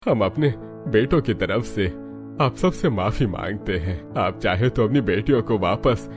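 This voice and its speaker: A man plays a frail, self-effacing old family patriarch in a moral-story cartoon. His deep voice moves slowly, with weary sighs and a gentle, apologetic temper. Music plays clearly behind him. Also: animated. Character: ailing, self-effacing elderly father-in-law, gentle and apologetic.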